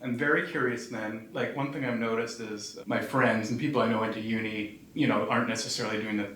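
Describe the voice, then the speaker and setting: A man's voice: fairly low pitch, steady tone, brisk, fairly bright tone. Casual male podcast host, roomy sound. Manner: casual, frequent "like" fillers. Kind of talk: casual interview. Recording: roomy, distant mic, faint noise.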